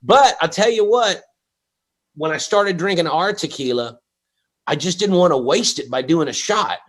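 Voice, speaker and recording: A middle-aged man hosting a comedy livestream, chatting in a rambling, excitable way with lots of stammered restarts. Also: on the high side, moderate pace. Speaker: comedy show host and tequila promoter. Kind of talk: casual banter on a livestream.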